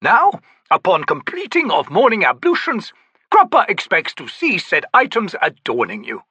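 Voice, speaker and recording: Picture a grand, self-delighted trickster talking about himself in the third person, voiced by a man high and theatrical, his pitch soaring and dipping wildly. The tone is bright and a bit husky, recorded dry and close for a storybook reading. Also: wildly swooping pitch, normal speed, no echo, heavily acted. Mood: genial. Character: pompous flowery male trickster scholar. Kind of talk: audiobook character voice.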